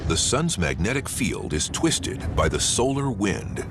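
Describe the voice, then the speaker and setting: A man's voice: deep voice, big pitch swings, measured pace, gravelly, very noisy. A man reading scripted documentary narration in a dramatic, punchy way, as the narrator. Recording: loud music bed behind voice. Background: loud music and effects.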